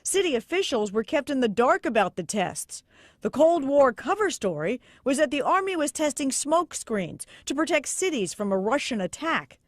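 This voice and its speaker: A woman's voice: sits high, sing-song, normal speed, no echo, clean studio sound. A woman with an American accent narrating a scripted investigative television news report.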